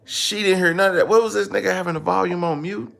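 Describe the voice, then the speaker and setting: Creaky-voiced American man, joking commentary. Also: high voice, fast. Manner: animated, repeats phrases for emphasis; joking, slangy and blunt. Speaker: online commentary host. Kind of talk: casual comedic commentary.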